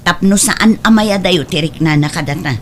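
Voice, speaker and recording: A woman's voice: on the low side, normal speed, a little hiss or hum, close to an everyday voice. A woman playing a defensive, exasperated wife and indulgent mother in an audio drama, speaking in a complaining manner.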